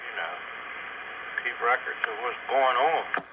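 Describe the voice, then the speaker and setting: A man's voice: mid-range voice, measured pace. Folksy guy teasing on ham style radio, middle voice, muffled w static. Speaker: radio operator. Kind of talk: casual two-way radio chat. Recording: muffled noisy two-way radio.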